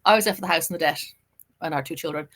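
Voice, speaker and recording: A middle-aged Irish woman, a journalist and writer, chatting as a podcast guest and telling her story in an animated way. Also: low voice. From Ireland. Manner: animated storytelling, quick and lively. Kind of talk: casual interview chat.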